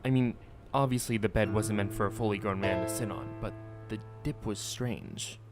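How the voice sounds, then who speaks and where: A man playing a grieving father telling his story in a horror radio play, somber, halting and restrained. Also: lowish voice, music in the background, lightly acted. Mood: somber, uneasy. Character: grieving father, grown man, recounting his daughter's disappearance.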